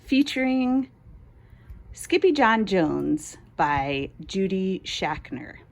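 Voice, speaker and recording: A woman reading a children's bedtime story aloud in an expressive storytelling style, with music behind her. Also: medium pitch, drawn-out words, vocal fry. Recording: music under the voice.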